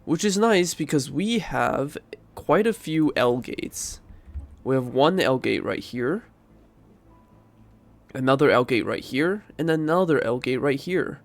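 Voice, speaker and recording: Gravelly-voiced man with a stuffy nose. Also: mid-range voice, animated, unhurried. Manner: thinking aloud, rambling, self-correcting. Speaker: game streamer. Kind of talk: casual gameplay commentary.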